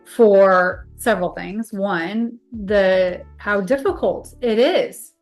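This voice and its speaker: A middle-aged American woman speaks as an interview guest, introducing herself in a conversational way. Her voice sits at a medium pitch for a woman. Music plays faintly behind her. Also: slow pace. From Kansas, United States.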